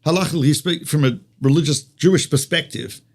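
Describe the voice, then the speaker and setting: A man's voice: fairly high pitch, fairly quick. A man with an Australian accent, a rabbi teaching conversationally through stories and rhetorical questions. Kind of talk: conversational religious teaching with storytelling.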